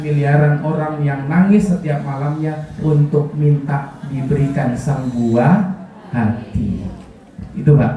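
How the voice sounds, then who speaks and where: A man's voice: on the high side, dark tone, distant mic, highs cut off. A man with a Javanese accent giving a joking religious parenting talk to parents, often quizzing them with questions. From Kulon Progo, Yogyakarta, Indonesia. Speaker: parenting speaker. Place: school hall. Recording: very echoey hall, voice from afar.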